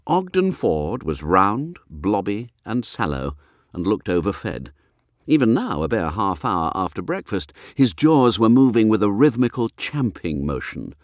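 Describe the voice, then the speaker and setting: A man narrates a comic novel with great expression. His voice is fairly low, yet it swoops widely from phrase to phrase. The sound is dry, with no room echo. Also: sing-song, unhurried. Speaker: audiobook narrator.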